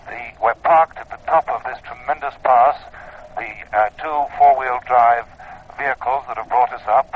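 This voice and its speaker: A man reporting unscripted from the field for radio, in a grandiose, awed and halting manner. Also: mid-range voice. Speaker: expedition reporter. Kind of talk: unscripted on-location radio commentary. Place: outdoors, sheltering under a blanket in wind. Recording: phone-like, noisy vintage field recording. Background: noise, wind.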